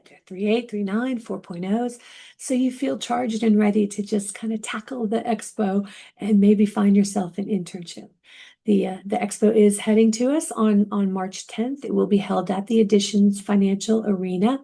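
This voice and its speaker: Gravelly, mellow-voiced woman lecturing. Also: mid-range voice. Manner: conversational, frequent ums and restarts. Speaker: instructor. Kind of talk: recorded class lecture.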